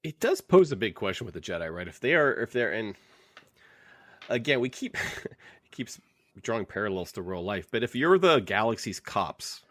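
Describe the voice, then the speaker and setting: A man's voice: on the low side, fairly bright tone, no room sound, clean studio sound. A man co-hosting a podcast, chatting casually and offering opinions with joking asides. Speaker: podcast co-host. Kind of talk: casual book club discussion.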